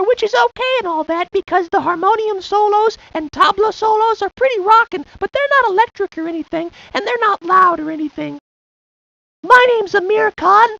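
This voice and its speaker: A woman's voice: very high-pitched, gravelly, a bit bright, no room sound, exaggerated voice. A woman voicing an enthusiastic young boy who loves rock and roll in a cartoon video game. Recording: muffled lo-fi game audio.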